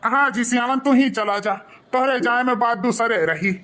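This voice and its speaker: Guy doing poor worried old awadhi dad, fretful, cartoon, bright pitched-up voice. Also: normal speed. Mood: relieved. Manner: fretful, plaintive.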